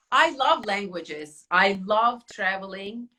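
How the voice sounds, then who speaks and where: Woman host with a Turkish accent, animated and quick to laugh, bright tone. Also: medium pitch. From Turkey. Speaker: academy owner and podcast host. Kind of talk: casual podcast chat.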